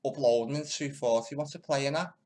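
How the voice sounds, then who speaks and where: A man's voice: medium pitch, fairly quick, darkish tone. Mumbling man grumbling at his computer. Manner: mumbling, grumbling to himself, casual and crude. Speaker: video host. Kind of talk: muttering commentary over news clips.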